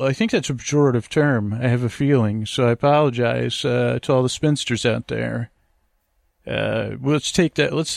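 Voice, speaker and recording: A man with a gravelly voice, rambling as a podcast host in a hesitant, stammering, meandering monologue meant for falling asleep to. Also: medium pitch, dry recording, studio quality.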